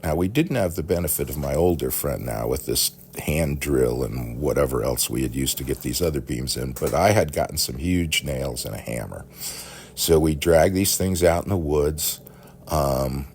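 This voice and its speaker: A man telling a rambling personal story in a halting, folksy, animated way, with a gravelly, husky voice. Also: a bass voice.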